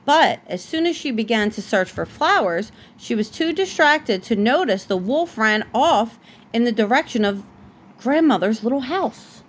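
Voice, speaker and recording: A woman reading a children's bedtime story aloud like a parent, with expressive storytelling. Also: a little high, normal speed.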